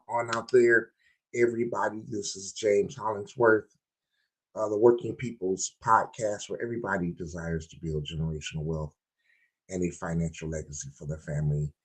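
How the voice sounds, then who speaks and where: A man hosting a live-streamed podcast, his voice hoarse and raspy, opening with loud hype before settling into easygoing, rambling chat. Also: low voice, low-quality recording. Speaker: podcast host.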